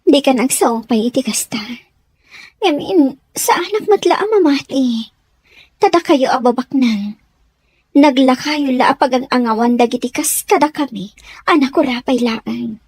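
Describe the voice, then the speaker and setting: A high, girlish young servant woman, speaks with an Ilocano accent. She is humble and earnest, with radio drama emotion in a dry, close recording. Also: a little slow, long pauses, darkish tone, breathy edge, dry recording. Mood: hesitant, pleading. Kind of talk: Filipino radio drama acting.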